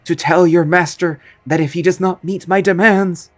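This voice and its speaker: Man doing a raised, slow, pompous magus spirit for an audio story, archaic and haughty. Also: on the high side, animated, faint music behind. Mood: enraged. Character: arrogant male magus spirit, pompous and theatrical.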